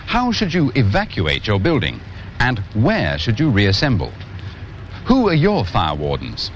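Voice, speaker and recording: A British man in his fifties presenting a scripted instructional training film in a measured, instructive manner. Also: on the low side, wildly swooping pitch. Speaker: presenter and narrator of a fire safety training film. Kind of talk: scripted instructional narration to viewer. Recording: muffled, noisy 1980s 16mm film soundtrack.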